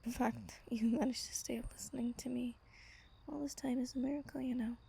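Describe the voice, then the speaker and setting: A woman playing an ancient, wistful vampire lover in a soft, breathy ASMR roleplay, telling her tale slowly and intimately. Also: fairly high pitch, fairly even intonation, full character voice. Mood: fond, tender. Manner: gentle, slow, intimate storytelling.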